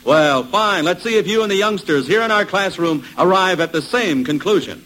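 High, animated old-time radio announcer, a man. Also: normal speed, rough recording.

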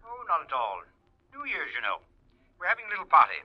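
A man's voice: a little high, wildly swooping pitch, fast. An actor playing an obliging male lawyer through a telephone filter in 1940s radio drama. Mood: polite, surprised. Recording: dull vintage radio, phone-line sound.

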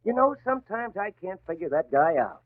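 An actor playing a brash, excitable, wisecracking newspaper reporter in a 1940s radio drama. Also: male voice, high-pitched, fairly quick. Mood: brash, chatty. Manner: wisecracking, emphatic. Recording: tinny, band-limited old radio broadcast.

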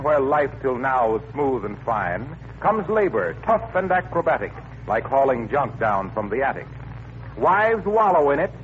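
A man with an American accent reading scripted announcements and sponsor copy as a 1940s radio announcer. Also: fairly high pitch. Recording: tinny vintage radio recording with faint noise.

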